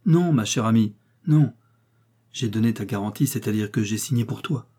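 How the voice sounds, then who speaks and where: Man voicing a ruined husband, desperate, guilty, agitated, husky. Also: lowish voice, normal speed, mellow. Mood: despairing. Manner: agitated, despairing.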